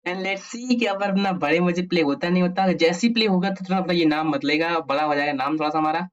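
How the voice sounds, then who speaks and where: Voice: mid-range voice, fast talker. An Indian presenter giving an informal coding tutorial, thinking aloud and answering their own questions. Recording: muffled, distant microphone.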